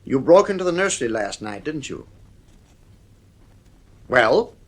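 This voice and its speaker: A stern, worried father in a vintage radio drama. His medium-pitched voice is firm and clipped, with big swings of intonation. He sounds exasperated and authoritative, a middle-aged man weary of his household. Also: sing-song, a little slow. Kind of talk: 1950s radio drama acting.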